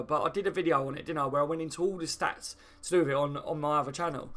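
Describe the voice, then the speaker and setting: Southern English man, higher-pitched, chatting casually. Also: a bit bright. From southern England. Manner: informal, matey, rambling, sometimes sweary. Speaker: football fan channel live stream host. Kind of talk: casual opinionated live stream chat.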